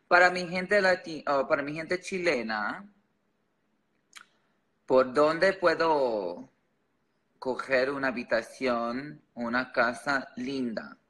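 A man's voice: mid-range voice, pushed and bright. A man from Chicago with an American accent and English as his first language, chatting casually to livestream viewers in sassy, slangy style. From Chicago, United States. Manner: switches between English and Spanish, sassy, slangy. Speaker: livestream host.

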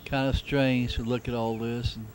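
Flat-toned man narrating, noisy recording. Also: medium pitch. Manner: unhurried, reflective, plain-spoken. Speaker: amateur travel videographer. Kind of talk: casual travelogue narration. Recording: noisy camcorder sound with outdoor ambience.

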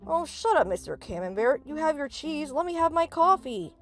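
A woman's voice: high-pitched, big pitch swings, normal speed, faint music behind. Woman voicing a dramatic, exasperated teenage boy. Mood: hyped, irritable. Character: tired, snarky, flustered teenage boy superhero in secret. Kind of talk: comic dub voice acting.

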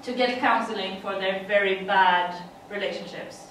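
A woman's voice: mid-range voice, fairly even intonation, normal speed, a bit bright, a little hiss or hum. Woman, Swedish accent, chatty, laughing, many fillers, far mic, very echoey room. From Sweden. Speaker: conference speaker. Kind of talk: presentation talk. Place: dim conference room.

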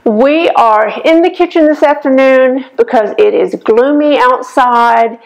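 An older woman with a slightly raspy voice hosts a casual cooking demonstration in her home kitchen, talking the viewer through each step. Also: high voice, a little slow, lo-fi sound, soft background music. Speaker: cooking show host.

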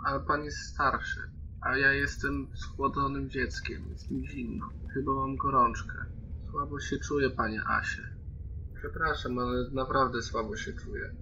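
A man's voice: mid-range voice, unhurried, muffled recording. A man playing a polite but argumentative, complaining fifteen-year-old boy in an online roleplaying game. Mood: plaintive. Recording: band-limited online call with steady noise.

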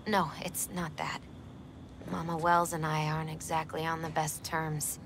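A woman playing a gentle, spiritual young woman who is quietly grieving, speaking softly and reflectively in a video game. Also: deep voice, little pitch movement, a little slow, noisy background. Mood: wistful, hesitant. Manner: soft, wistful, reflective. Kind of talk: naturalistic video game acting. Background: music in the background.

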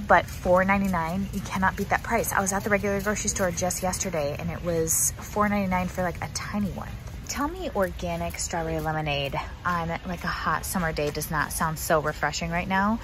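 Young american woman vlogging while she shops, lowish voice, chatty and informal, noisy big store behind her. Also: brisk, a bit bright. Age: young adult. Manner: informal, chatty, addressing viewers directly. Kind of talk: casual chatty shopping vlog narration. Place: large indoor warehouse store. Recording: noisy warehouse store background hum.

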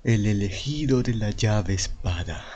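A man with a Latin American Spanish accent voicing a cold, condescending older masked schemer in a video game fan dub, speaking in a measured way. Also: lowish voice, mellow, husky, muffled recording.

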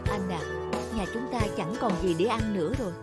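An actress playing a poor, anxious young village wife in an animated fairy tale, soft and plaintive. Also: female voice, mid-range voice, fairly quick, loud music behind the voice, close to an everyday voice. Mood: subdued.

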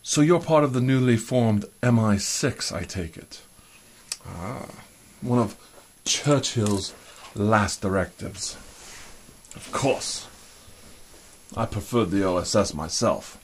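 A man's voice: fairly low pitch, takes it slowly, long pauses, a little hiss or hum. A man playing a chummy, genteel American spy gadget supplier disguised with a put-on British accent, reminiscing in old-fashioned phrases in an improvised roleplay. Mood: confiding, wry. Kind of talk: improvised one-sided spy roleplay, addressed to the listener.

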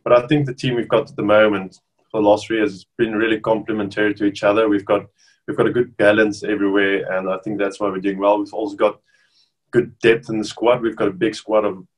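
A South African man in his thirties, whose first language is Afrikaans, chatting casually over a video call as an interview guest with relaxed restarts. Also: lowish voice, fast, rough recording. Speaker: rugby player guest. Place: bedroom at home, indoors.

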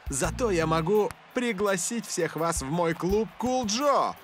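A man voicing a cool, confident young football star in a cartoon dub, smooth and laid-back. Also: high voice, animated, unhurried, a bit bright. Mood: smug, playful. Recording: music behind the voice.

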